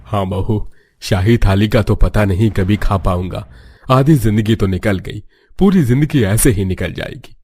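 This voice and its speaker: A man's voice: on the low side, a little slow, a little mellow, breathy edge, no echo, studio quality. A man voicing a despondent, self-pitying older father-in-law in a cartoon story. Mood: gloomy. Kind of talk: Hindi cartoon story acting.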